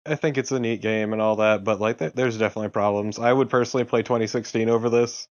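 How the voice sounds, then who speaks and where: A man's voice: on the low side, steady tone, fast. A man co-commentating casually on video games, recorded with somewhat dull audio.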